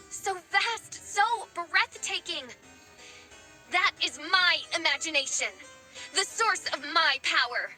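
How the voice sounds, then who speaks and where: Anime game princess girl: very high, measured, grandiose, haughty with insecurity underneath. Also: sharp tone, full character voice. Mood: earnest, wistful. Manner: grandiose, dramatic declamation. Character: theatrical, haughty yet insecure young princess-styled adventurer girl. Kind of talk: scripted anime game voice acting. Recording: music behind the voice.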